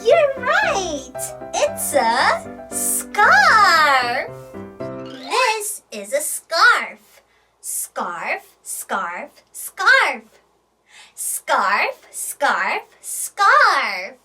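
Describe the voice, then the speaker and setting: A woman's voice: high voice, huge pitch swings, very slow, pushed and bright, faint music behind. A woman hosting a playful children's English storytime, with exaggerated, sing-song delivery, repeating words for young learners.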